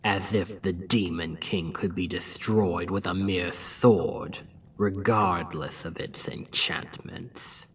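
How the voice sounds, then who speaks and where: A man voicing a scheming, seductive demon king spirit in an ASMR roleplay, taunting and mocking in a low, intimate tone that drops into whispers. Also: fairly even intonation, slightly rough, recorded from a distance, exaggerated voice, low-quality recording. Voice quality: deep, slow, intimate. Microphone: close ASMR mic.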